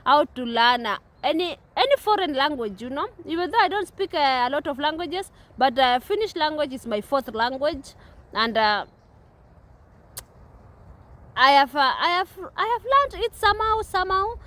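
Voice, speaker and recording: A woman's voice: high voice, sing-song, normal speed, fairly bright tone. A young woman from Kenya with a Kenyan English accent, vlogging outdoors at a playground in an earnest, repetitive way with many restarts. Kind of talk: casual talk to camera.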